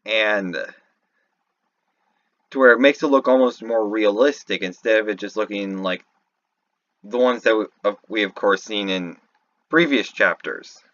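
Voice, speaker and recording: A man giving casual, off-the-cuff game commentary, halting and thinking aloud as he goes. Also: on the low side, a bit bright, no room sound, lo-fi sound. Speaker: YouTube commentator.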